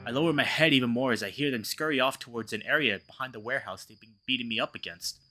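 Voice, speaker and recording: A man voicing a battered, weary animal hero in measured, dramatic first-person visual novel narration. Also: medium pitch, pushed and bright, soft background music, natural voice. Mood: somber, weary. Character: battered, weary, stoic male animal narrator, gently reassuring.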